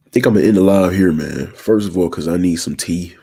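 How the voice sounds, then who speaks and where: A young man in his twenties with a low, raspy voice, a little strained from a sore throat. He talks to his livestream viewers in loose, slangy asides and keeps checking they follow. His tone is dark and mellow. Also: fairly quick. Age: 20s. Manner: slangy, keeps asking "you feel me". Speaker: channel host. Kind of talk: casual livestream talk to viewers.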